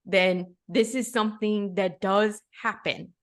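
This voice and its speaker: A middle-aged woman talking as a podcast guest. She has a mid-range voice and speaks frankly and earnestly, in a relaxed conversational way. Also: medium pitch, slow. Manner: earnest, frank, laughs between points. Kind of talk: casual conversation.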